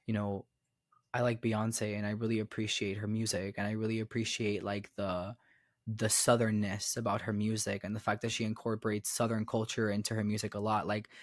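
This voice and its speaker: A man's voice: lowish voice, flat delivery, a little fast, no echo. Young man podcast guest, Miami-raised, rambles with fillers, dead-dry close mic. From Florida, raised in Miami. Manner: rambling, repeats phrases, many fillers. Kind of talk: casual conversation.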